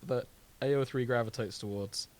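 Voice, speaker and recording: A man's voice: medium pitch, normal speed, a little mellow, dry recording. A British man chatting casually as a podcast co-host, with a jokey, bantering manner. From Britain. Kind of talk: casual comedic chat.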